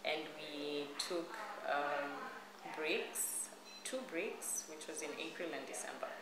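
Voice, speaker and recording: A young woman, Kikuyu, answers questions in a calm, measured way, often affirming with a soft "yes." Her voice sits low. The recording has heavy room echo and a steady noise floor underneath. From Kenya. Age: 20s. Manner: measured, polite, frequent affirming yeses. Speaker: interview guest. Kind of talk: casual interview answers.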